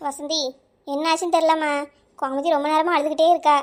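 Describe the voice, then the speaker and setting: High, concerned village neighbour woman, acted. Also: little pitch movement, a little fast, close to an everyday voice. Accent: southern Tamil Nadu.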